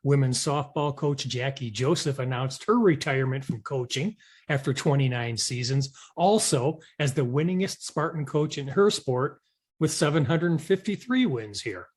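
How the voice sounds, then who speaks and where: A man's voice: mid-range voice, expressive, normal speed, slightly rough. A man hosting an interview show, reading prepared questions and scripted announcements.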